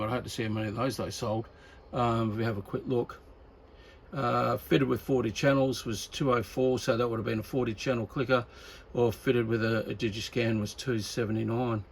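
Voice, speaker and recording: Gravelly, rambling Australian man. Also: mid-range voice. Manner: rambling, halting, thinking aloud. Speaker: radio hobbyist presenter. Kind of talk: casual unscripted product talk. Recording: steady background noise.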